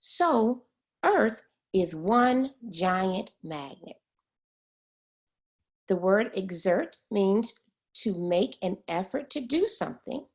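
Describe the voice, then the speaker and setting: A woman reading a children's science book aloud as a teacher, expressively and pausing to explain words. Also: mid-range voice, animated.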